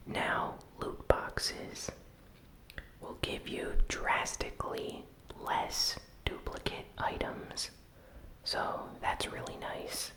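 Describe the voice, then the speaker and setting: Slow, breathy ASMR whisper. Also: rough recording. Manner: slow, soft, unvoiced whispering. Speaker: host of a gaming news channel. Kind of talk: whispered ASMR news recap.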